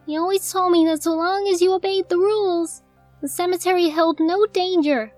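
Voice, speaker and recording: A very high, childlike voice of a ghostly young filly, as a woman puts her on, sweet and secretive in a horror storybook reading. Faint music plays underneath. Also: fairly even intonation, moderate pace, darkish tone, no echo. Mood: eerie sweet.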